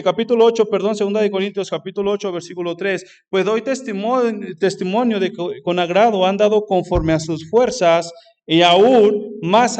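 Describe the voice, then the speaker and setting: A man preaching in church with a high, ringing voice. His carries a fervent, urgent missionary sermon. He keeps calling out to his brothers, and he stumbles over restarts as he pushes on. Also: a little mellow. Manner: fervent preaching, repeats "hermanos" often, frequent restarts. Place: church sanctuary.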